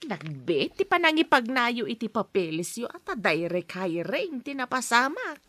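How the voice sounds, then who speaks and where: An actress playing a gentle older mother with an Ilocano accent and a breathy voice in a radio drama. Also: a little high, big pitch swings, measured pace, no echo, exaggerated voice. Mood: warm. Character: older mother, caring and hopeful.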